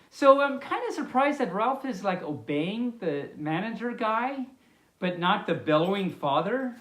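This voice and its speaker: Animated woman reading a storybook, echoey room. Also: fairly high pitch. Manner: playful, chatty, halting rambling commentary. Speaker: storyteller, host. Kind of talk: reading a picture book aloud with casual asides. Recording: echoey, reverberant room sound.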